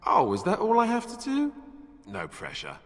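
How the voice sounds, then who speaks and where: An actor playing an awkward, wry young warrior in a fantasy game, chatting with flippant sarcasm. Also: male voice, high-pitched, wildly swooping pitch, normal speed, dry recording, full character voice. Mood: sarcastic. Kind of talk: fantasy game banter acting.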